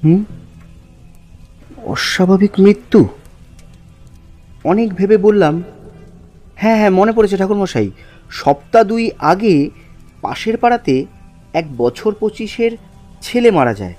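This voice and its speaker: মৃদু, চড়া গলার এক পুরুষের অভিনয়ে উদ্বিগ্ন গল্পকথক. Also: male voice, high-pitched, animated, measured pace, long pauses, dark tone. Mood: frightened. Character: anxious married male narrator, haunted follower of the tantric. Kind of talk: first-person horror story narration with acted dialogue. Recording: music under the voice.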